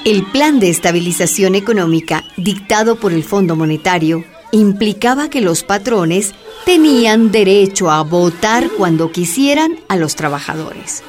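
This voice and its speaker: Casting: female narrator, medium pitch, measured scripted delivery for radio docudrama, music bed.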